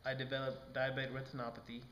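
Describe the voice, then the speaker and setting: A rueful blind puppet voiced by a man tells his story in a scripted film monologue. The voice sits at an ordinary male pitch in an echoey room, with faint noise behind it. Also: monotone, fast talker, a bit bright, natural voice. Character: blind diabetic male puppet recalling wild past, rueful.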